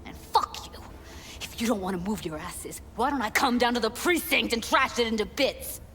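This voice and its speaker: A tough young woman torn up by grief, bitter and blunt. Her voice sits fairly high and is emotionally raw, in naturalistic video game style, with music clearly playing behind it. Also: expressive, moderate pace, fairly bright tone. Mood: furious.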